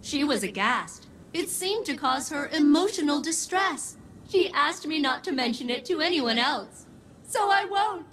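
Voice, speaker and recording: A woman's voice: sits high, lively intonation, measured pace, a bit bright, a little hiss or hum. An actress voicing a bubbly, eager female artificial intelligence in a video game, earnest and sing-song. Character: perky, childlike, eager female spaceship AI. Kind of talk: comic video game dialogue. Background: music.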